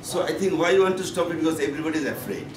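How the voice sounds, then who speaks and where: A man with a Bangladeshi accent giving a medical conference lecture as a professor, in halting, repetitive, emphatic phrases. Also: on the high side. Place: conference meeting room. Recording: echoey, noisy room, distant microphone.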